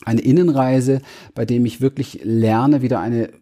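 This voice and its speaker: A man's voice: medium pitch, fairly even intonation, a little slow, dark tone. A man speaking earnestly as a guest in an interview, searching for words and restarting phrases as he explains. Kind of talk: reflective interview talk.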